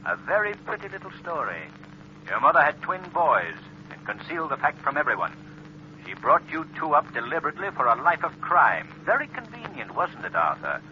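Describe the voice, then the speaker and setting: An actor playing a mysterious, coolly superior crime fighter in 1930s radio style, with a deliberate, taunting manner. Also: male voice, fairly high pitch, huge pitch swings. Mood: mocking, smug. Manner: mocking, deliberate. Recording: muffled, noisy 1930s radio recording.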